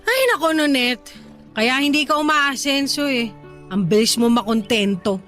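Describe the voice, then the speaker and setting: An actress with a Filipino accent playing a sassy, ambitious, restless young resort worker in a radio drama. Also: female voice, sits high, normal speed, a bit bright, faint music behind. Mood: weary complaint. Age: young adult. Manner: sassy, complaining, colloquial banter. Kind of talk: Tagalog radio drama dialogue.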